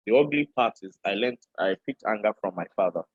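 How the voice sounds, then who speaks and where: A middle-aged Nigerian man, a public speaker, giving an animated, joking seminar lecture and addressing his class directly. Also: lowish voice, a little fast, slightly rough, dry recording. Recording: dull, dry lo-fi recording.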